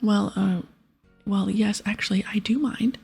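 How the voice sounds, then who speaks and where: A grumpy, suspicious old man acted out by a woman grumbles in a very breathy whisper. The delivery is hesitant and huffy, with some fry, in ASMR game style over soft music. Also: medium pitch, steady tone, unhurried, heavily acted. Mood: huffy, defensive.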